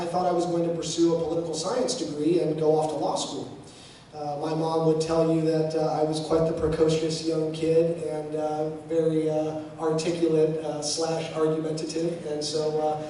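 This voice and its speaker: A man's voice: on the high side, warm tone. A man from the Bay Area telling students about his background at an event, in a conversational way with many fillers and restarts. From San Francisco Bay Area. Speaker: panel speaker. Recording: echoey room, distant mic, faint noise.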